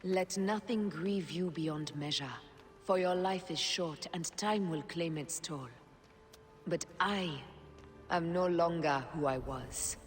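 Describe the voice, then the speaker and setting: An actress playing a stern woman who founds a secret order, speaking in a grave, solemn video game cutscene. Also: on the low side. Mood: cold. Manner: slow, solemn, grave delivery. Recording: game audio with long reverb. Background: game score music.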